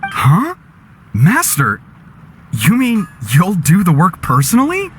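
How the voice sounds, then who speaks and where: A man's voice: sits high, huge pitch swings, slow pace, a little hiss or hum, exaggerated voice. An actor playing a flustered, pleading young male apprentice smith.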